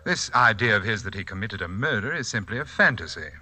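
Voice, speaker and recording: A man playing a suave, sinister sanitarium doctor in a 1940s radio mystery, polite, composed and quietly menacing. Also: on the low side, expressive, fast talker, dry recording, exaggerated voice. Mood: suavely polite.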